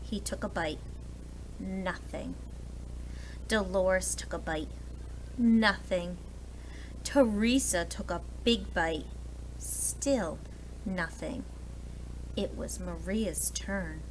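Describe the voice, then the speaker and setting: A woman's voice: fairly low pitch, very slow, pauses often, a little hiss or hum, rough recording. A woman reading a children's storybook aloud expressively, like a preschool teacher addressing young listeners. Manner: explains words and asks listeners questions.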